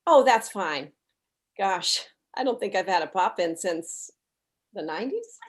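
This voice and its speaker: A woman's voice: mid-range voice, expressive, a little slow, lightly acted. A woman playing a polite but blunt mother in her forties, in a comedy script read. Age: middle-aged.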